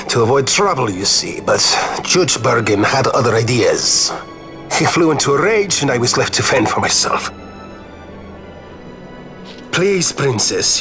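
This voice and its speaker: An actor playing a suave nobleman in a video game, speaking in an eloquent, formal and measured way. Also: medium pitch. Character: suave, courtly male nobleman, earnest and wry. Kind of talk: video game cutscene acting.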